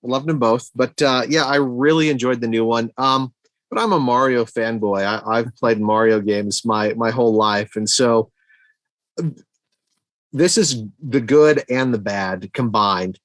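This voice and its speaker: A middle-aged man in a casual podcast discussion about films. His voice sits at an ordinary male pitch and the sound is dry, without room reverb. He thinks aloud, doubling back on words as he forms his point. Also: measured pace, a bit bright. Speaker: podcast co-host reviewing movies.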